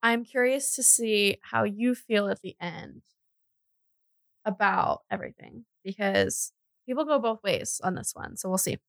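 Bright-voiced, chatty woman telling true crime. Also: medium pitch, a little slow, creaky, dry recording. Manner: giggly, jokey, chatty. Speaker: podcast host. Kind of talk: casual true crime storytelling chat.

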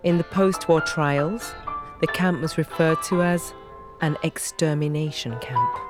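A narrator giving scripted documentary narration in a measured, sober manner. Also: mid-range voice. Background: plucked-string music.

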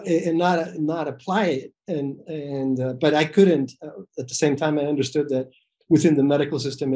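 Middle-aged doctor, higher voice, halting. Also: male voice, darkish tone. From El Salvador. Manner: hesitant, many fillers and restarts. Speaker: physician guest. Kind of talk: conversational interview answers.

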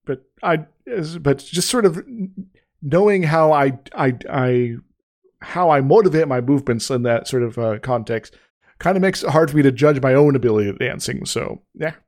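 A man co-hosting a casual podcast, getting over an illness with a sore throat, talking haltingly with many restarts and fillers. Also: medium pitch, animated, darkish tone. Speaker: podcast co-host.

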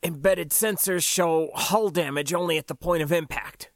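A man's voice: fairly high pitch, dry recording, studio quality, close to an everyday voice. A man doing a grim ship's engineer. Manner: quick, grim, matter-of-fact.

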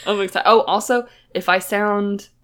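A woman's voice: medium pitch, fairly even intonation, moderate pace, fairly bright tone, clear, resonant tone. A woman co-hosting a podcast in casual chat, stuffy from a cold, throwing in playful echoes and interjections. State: stuffy, getting over being sick. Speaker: podcast co-host.

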